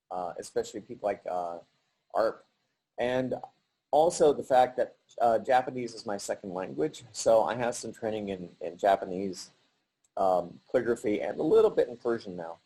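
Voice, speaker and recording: A middle-aged man giving a halting virtual artist talk over a video call, with many pauses and restarts. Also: lowish voice, dark tone, breathy edge, rough recording. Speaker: artist presenting his work.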